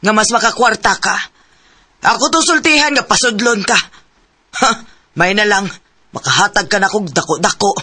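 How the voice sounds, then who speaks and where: A woman's voice: medium pitch, animated, normal speed, pauses often, sharp tone. A woman playing a harsh, greedy middle-aged stepmother who scolds and bullies in a radio drama, with a Cebuano Filipino accent. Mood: demanding, nagging. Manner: scolding, demanding.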